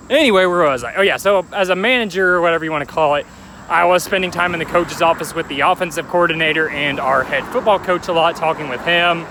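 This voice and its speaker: A man's voice: fairly high pitch, gravelly, background noise. A young man telling his life story in a rambling, chatty vlog, recorded outdoors near a railway. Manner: rambling, chatty, joking aside at passing trains. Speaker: vlogger.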